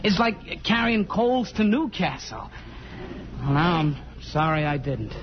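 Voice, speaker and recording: An actor playing a lonesome, tipsy young American army corporal in a 1950s radio drama, hesitant and rambling. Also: male voice, a little high, lively intonation, measured pace, background noise. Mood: tipsy, torn. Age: young adult. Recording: muffled vintage radio broadcast with music.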